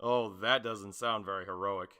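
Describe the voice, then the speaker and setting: Man voicing a bright, brash anime hero boy. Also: lowish voice, steady tone, normal speed, clear, resonant tone, pitched below the performer's own voice. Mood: teasing, disapproving. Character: brash, spirited teenage boy superhero student, anime dub. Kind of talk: anime dub audition acting.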